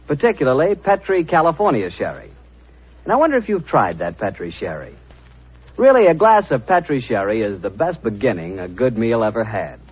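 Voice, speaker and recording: An American man working as an announcer and sponsor pitchman in 1940s radio style. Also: breathy edge. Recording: muffled 1940s radio broadcast.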